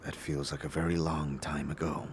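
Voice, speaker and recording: A man playing a solemn, reserved warrior sage and loyal companion in a fantasy audio drama, speaking formally and with measured care. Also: very deep voice, little pitch movement, slight background noise, voice pushed down. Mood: wistful, hushed.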